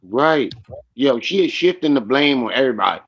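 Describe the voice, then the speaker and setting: A man's voice: mid-range voice, expressive, normal speed, fairly bright tone, muffled recording. A man co-hosting a live stream, chatting casually and restarting his sentences often. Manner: rambling, many restarts. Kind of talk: casual commentary chat.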